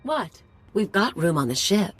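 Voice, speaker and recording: An actress playing a roguish mercenary sidekick in a video game, sardonic and casual. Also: female voice, mid-range voice, big pitch swings, unhurried, noisy background. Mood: mischievous.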